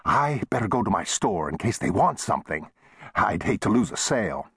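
A man's voice: very animated, normal speed, a bit bright, breathy edge, no room sound, exaggerated voice. Man playing a touchy, indignant small-town storekeeper. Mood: marveling. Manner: heated, complaining, raised pitch.

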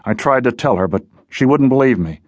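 A man's voice: medium pitch, expressive, a little fast, no room sound, pitched above the performer's own voice, natural voice. A man voicing a plain-spoken, earnest male fugitive who was once a lawman, in an audiobook. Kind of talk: audiobook character voice.

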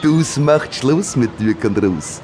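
A rambling postman in a radio play. His Viennese voice swings animatedly around a middle pitch, chummy and ingratiating but evasive and opinionated. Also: lively intonation, takes it slowly, darkish tone, a little hiss or hum.

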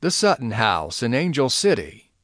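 A man's voice: mid-range voice, huge pitch swings, moderate pace, fairly bright tone, no room sound, clean studio sound. A man playing a courteous, affable husband on a journey in an audio drama. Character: courteous, affable husband travelling by train with his wife.

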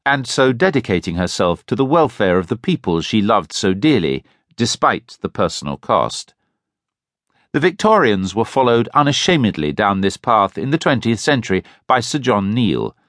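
A man narrating a history audiobook in a fairly low voice that rises and falls with lively, expressive emphasis. His reading is measured and deliberate. The sound is dry and close, with no room echo, as from a studio booth. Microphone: close studio microphone.

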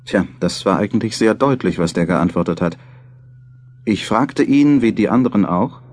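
A man's voice: on the low side, normal speed, a little mellow, slight background noise, lightly acted. A man playing a thoughtful, mildly doubtful journalist who muses and questions himself over his diary in a German radio play. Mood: reflective, sobered. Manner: musing, self-questioning.

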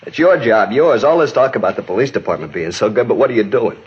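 Distraught, husky-voiced husband. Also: male voice, mid-range voice, animated, fast. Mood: angry, frustrated. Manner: anguished, repetitive, emotional. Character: distraught, exhausted, bitter husband of an injured woman. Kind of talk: 1950s radio drama acting. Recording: dull, muffled 1950s radio recording.

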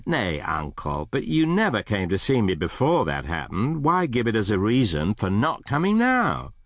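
A man voicing a cheerful, warm-hearted young gentleman in a storybook reading, earnest and emphatic. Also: on the low side, wildly swooping pitch, normal speed, no room sound, clean studio sound, voice pushed up. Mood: hearty appeal.

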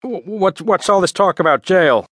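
A man's voice: mid-range voice, steady tone, moderate pace, fairly bright tone, dry recording, studio quality, lightly acted. A man voicing a meek, anxious male newcomer with a hesitant, stammering way of talking. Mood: agitated.

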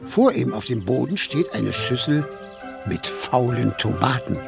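Gravelly, animated German male storyteller. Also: mid-range voice, a little slow, over music. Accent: standard German. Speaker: narrator. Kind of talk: animated children's story narration.

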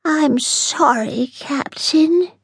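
Storybook alien cook, female, slimy and apologetic, put on by a woman reader; very high, sing-song, dry close sound. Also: sing-song, extremely slow, dry recording, exaggerated voice. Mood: sad.

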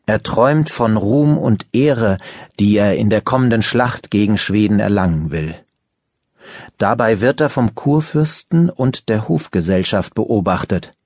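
A man reads scripted audiobook narration in a low, husky voice. The sound is dry, with no room around it. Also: lively intonation, a little slow, a little mellow, dry recording.

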